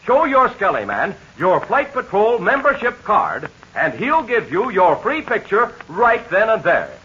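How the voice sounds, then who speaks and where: A man announcing a 1940s radio serial, making the sponsor's pitch to young listeners in an eager, breathless kid-show sales style, heard on a dull lo-fi old radio transcription. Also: wildly swooping pitch, measured pace, bright, forceful tone, slightly rough.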